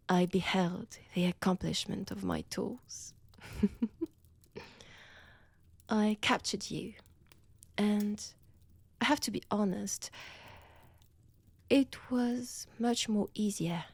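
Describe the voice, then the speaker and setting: Breathy French vampire seductress, acted by a woman, slow and menacing. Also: mid-range voice, a little mellow, no room sound, full character voice. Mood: gloating, cruel. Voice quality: breathy, often dropping toward a whisper. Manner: slow, teasing, menacing, deliberate pauses. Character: seductive, predatory female vampire toying with her captive. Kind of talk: intimate audio roleplay, addressed to the listener.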